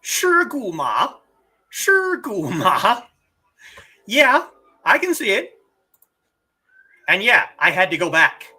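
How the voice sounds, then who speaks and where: A middle-aged martial arts teacher chatting casually on a live stream, reading viewer comments and sounding out words with animated emphasis. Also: medium pitch, faint music behind. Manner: animated, drawn-out demonstrations of word sounds.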